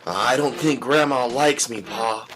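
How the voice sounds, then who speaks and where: A man voicing a needy, hungry young son who plaintively pesters his father in a dark comic audio drama. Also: medium pitch, measured pace, close to an everyday voice. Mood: anxious pleading. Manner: plaintive, repetitive questioning. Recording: music under the voice.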